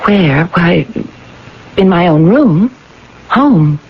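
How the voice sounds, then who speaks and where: Troubled patient, husky voice. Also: female voice, on the low side, animated, drawn-out words, exaggerated voice. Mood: bewildered. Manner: earnest, uncertain. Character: troubled, bewildered young woman patient haunted by dreams. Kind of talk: 1980s radio drama acting. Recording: dull, lo-fi old radio recording.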